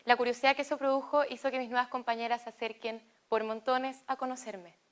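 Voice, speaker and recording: A young woman with a Chilean Spanish accent tells a personal story in a fairly high voice. She speaks slowly and deliberately and pauses between phrases. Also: flat delivery. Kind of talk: personal testimony read as narration.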